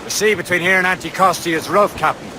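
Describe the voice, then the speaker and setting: An actor playing a stern, dutiful sailor and hardened Assassin in a video game, gruff and matter-of-fact. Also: male voice, high-pitched, fast talker, lightly acted. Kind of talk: dramatic video game cutscene acting. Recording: noisy sea ambience behind the voice. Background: waves and ship ambience.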